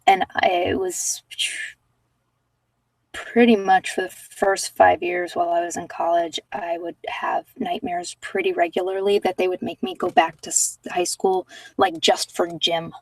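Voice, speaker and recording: A woman giving a casual, halting tarot reading and chatting with viewers as she thinks aloud. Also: lowish voice, level tone, gravelly, a little mellow, no room sound, distant mic, poor audio. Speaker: host of a live card-reading session.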